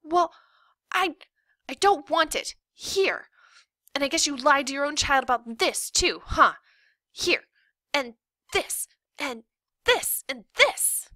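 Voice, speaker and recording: A woman voicing an excitable, defiant ten-year-old girl in a story, indignant, dramatic and argumentative. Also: high voice, takes it slowly, long pauses, dry recording, pitched above the performer's own voice, exaggerated voice. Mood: shocked, angry.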